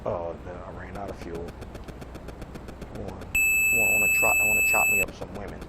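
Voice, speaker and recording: A man's voice: low voice, lively intonation, brisk, heavy background noise. A man giving casual, rambling gameplay commentary as a channel host, grumbling and swearing in an offhand way, with game audio behind him. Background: loud retro video game music and sounds.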